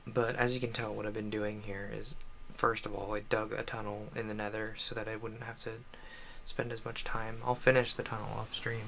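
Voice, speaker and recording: A man chatting casually and haltingly on a solo game livestream, rambling with self-deprecating asides. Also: lowish voice, flat delivery, gravelly, sharp tone, a little hiss or hum.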